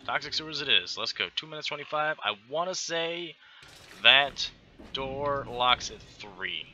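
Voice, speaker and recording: A man in his thirties from Texas with a light Texan accent, chatting through gameplay commentary on a headset, full of quick exclamations and talk to himself. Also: medium pitch, lively intonation, pushed and bright, dull audio. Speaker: gaming channel host.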